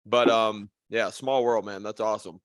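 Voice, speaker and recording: A young man hosts a podcast in an upbeat, loose way, quick to hype his guest. The recording is dry and close, with almost no room sound. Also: lowish voice, steady tone, no room sound. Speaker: podcast host. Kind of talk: casual chat.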